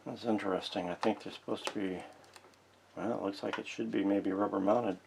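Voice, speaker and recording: A man's voice: fairly low pitch, fairly even intonation, a little fast, creaky, noisy background. A man giving casual, folksy, unscripted narration as he works with his hands, thinking aloud as he goes. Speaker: host of a vintage radio repair channel. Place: indoor workshop.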